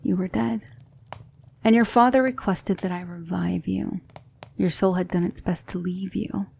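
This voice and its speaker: A woman playing a gentle, patient demon mentor in an ASMR roleplay, speaking softly and often in a whisper, soothing and reassuring. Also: on the low side, fairly even intonation, unhurried, creaky, slightly rough, full character voice. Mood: calm, solemn storytelling.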